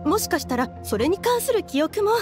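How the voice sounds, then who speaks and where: A woman's voice: very high-pitched, very animated, brisk. A woman playing a refined, guarded, controlling motherly woman, speaking in a polite, ladylike way in an anime game. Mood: defensive. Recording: background music under the voice.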